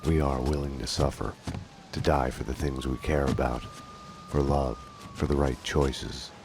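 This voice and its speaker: An actor playing a grim, world-weary detective, narrating in a slow, poetic, brooding noir style for a video game. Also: male voice, rumbling low, level tone, noisy background. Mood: brooding, somber.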